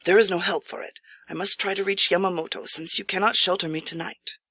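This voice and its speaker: A woman's voice: fairly low pitch, fairly even intonation, brisk, pushed and bright, husky, no room sound. A woman voicing a tired, courteous male traveling priest in an audiobook tale, formal and pleading. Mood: resigned. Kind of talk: audiobook character voice.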